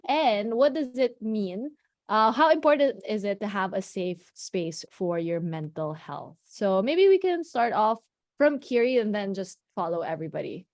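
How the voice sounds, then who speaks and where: A woman's voice: on the high side, a little mellow, no room sound, clean studio sound. A woman with a Filipino accent, moderating a media Q&A as host in a bubbly, laughing manner. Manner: bubbly, laughing, mixing English and Tagalog. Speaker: press conference host.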